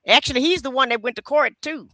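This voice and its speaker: A man's voice: extremely high pitch, fast talker, pushed and bright, dry recording. A man co-hosting a podcast, commenting casually on a video clip, explaining loosely with many fillers and some amusement. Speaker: podcast co-host.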